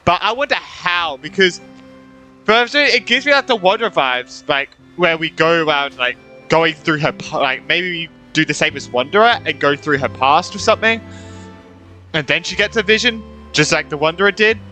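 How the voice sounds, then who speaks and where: A male gaming streamer reacts casually in a fairly high, bright voice. He rambles and repeats himself, with lively swings in pitch. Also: moderate pace. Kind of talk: casual live game reaction commentary. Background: game music.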